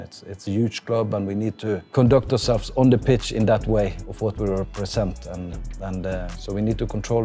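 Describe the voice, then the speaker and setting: Norwegian-accented man in his forties, mellow. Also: lowish voice. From Norway. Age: 40s. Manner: calm, thoughtful, many fillers and restarts. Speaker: football coach. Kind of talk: interview. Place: outdoor stadium stands.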